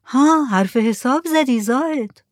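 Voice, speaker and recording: A woman's voice: high-pitched, expressive, no echo, clean studio sound, voice pushed up. A woman reading the lines of a sleepy, easygoing village drummer. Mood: drowsy. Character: drowsy poor drummer man, easygoing.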